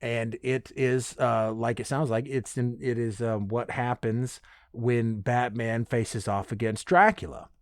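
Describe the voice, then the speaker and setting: A man's voice: medium pitch, fairly even intonation, measured pace, no room sound, studio quality. A man hosting a podcast alone in a casual, rambling and self-deprecating way. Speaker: podcast host. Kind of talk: casual solo chat.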